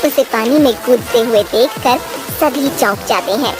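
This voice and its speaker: A woman's voice: fairly high pitch, moderate pace, music in the background. A woman narrating a story in a dramatic, scripted storytelling style.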